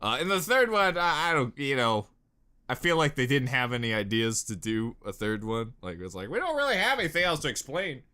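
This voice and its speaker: A man's voice: medium pitch, very animated, brisk, bright, forceful tone, clear, resonant tone. A man giving casual, jokey reaction commentary with sarcastic remarks and mild swearing. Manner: jokey, sarcastic, mildly profane. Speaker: reaction video host.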